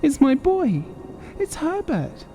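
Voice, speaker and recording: Man putting on a shrill, frantic old woman. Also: wildly swooping pitch, takes it slowly, warm tone, a little hiss or hum, exaggerated voice. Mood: frantic, desperate. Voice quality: strained, shrill. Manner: crying out urgently, pleading.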